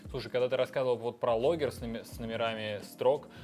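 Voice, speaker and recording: A man's voice: mid-range voice, steady tone, fast, darkish tone, soft background music. A man hosting a casual interview podcast, prompting his guest with friendly questions. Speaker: podcast host.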